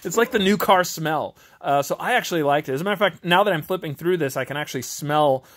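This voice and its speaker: A man's voice: fairly high pitch, fast, fairly bright tone. A man chatting casually and unscripted as an amateur reviewer, in an informal, hesitant, self-deprecating way. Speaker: amateur video reviewer and tabletop game master. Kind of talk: casual, unscripted chat while reviewing a game book. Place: home garage.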